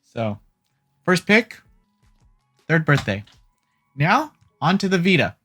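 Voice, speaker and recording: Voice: mid-range voice, big pitch swings, takes it slowly, fairly bright tone. A host giving a casual, opinionated video game news rundown with informal, joking asides. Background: background music.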